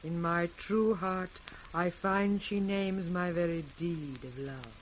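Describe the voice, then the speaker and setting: A haughty royal daughter, pours out formal flattery in a British accent. She declaims very slowly in 1960s radio Shakespeare style, with faint hiss on the recording. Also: on the low side, rough recording. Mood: gushing devotion. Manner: measured declamation. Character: king's second daughter, haughty, effusively flattering noblewoman.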